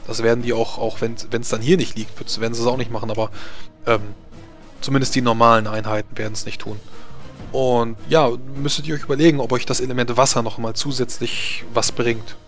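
A man running a tabletop game as game master, explaining rules in a measured way with many hesitations. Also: mid-range voice, level tone, fairly bright tone, soft background music.

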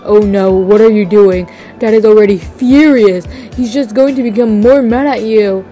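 A woman's voice: medium pitch, normal speed, mellow, soft background music, natural voice. A woman playing an earnest, exasperated teenage son, pleading emphatically in an amateur game roleplay.

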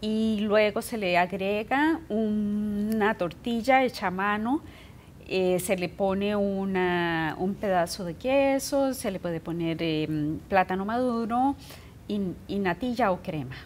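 A woman's voice: mid-range voice, takes it slowly, a little hiss or hum. A middle-aged woman from Costa Rica answering interview questions in a careful, hesitant way with many fillers. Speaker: guest. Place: indoor TV studio set.

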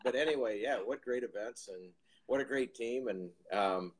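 A man's voice: medium pitch, moderate pace, breathy edge, rough recording. A man in his fifties hosting an interview over a video call, chatty and rambling. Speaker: host. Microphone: video call with earbud headset.

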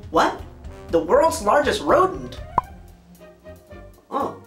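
Guy in his 30s doing a dramatic video review, super animated, bit of music under. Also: very high-pitched, extremely slow. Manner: theatrical, emphatic. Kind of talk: scripted review commentary. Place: small indoor studio room.

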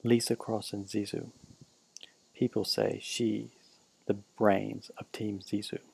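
A man giving deadpan mock-documentary narration, reading out a team roll call for a class parody film. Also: on the low side, slow, vocal fry, husky, no room sound.

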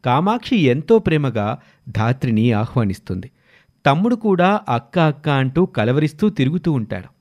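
A man's voice: mid-range voice, sing-song, normal speed, a little mellow. Male story narrator, very varied intonation, light music bed. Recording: music under the voice. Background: background music.

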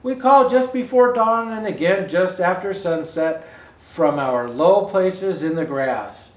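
High-voiced older man reading a storybook, roomy. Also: slow pace. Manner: expressive read-aloud with asides to viewers. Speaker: storyteller in Santa costume. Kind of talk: reading a children's picture book aloud. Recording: roomy indoor echo.

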